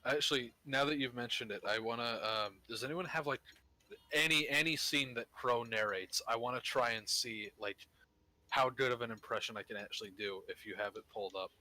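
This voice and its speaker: A man chatting casually with friends on a game stream, easygoing and quick to laugh. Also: medium pitch, bright, forceful tone, poor audio. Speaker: gamer on a group stream. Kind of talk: casual chat.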